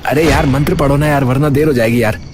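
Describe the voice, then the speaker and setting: A man's voice: mid-range voice, steady tone, fast, music in the background, lightly acted. A man playing an earnest young villager who leads his friends in a cartoon story, speaking casually and urgently.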